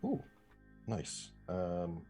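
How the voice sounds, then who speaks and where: A man with a deep voice talks casually as a player in a tabletop game. He is hesitant and thinks out loud, with faint music in the background. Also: huge pitch swings, extremely slow.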